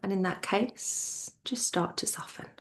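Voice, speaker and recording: A woman teaching yoga nidra in a soothing, gentle manner, her soft voice trailing off toward a near-whisper as she guides relaxation. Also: mid-range voice, poor audio. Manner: soothing, gentle, unhurried with pauses.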